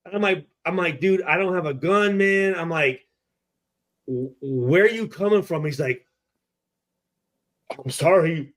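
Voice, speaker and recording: A middle-aged man telling a casual story with heavy swearing, halting and repeating himself as he acts out exchanges. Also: on the high side, fairly bright tone. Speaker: podcast guest telling a story.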